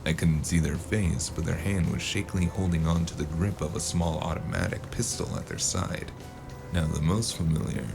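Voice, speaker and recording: Male noir narrator, very deep voice, gravelly, loud music underneath. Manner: measured, gritty first-person narration. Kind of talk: noir audio drama narration.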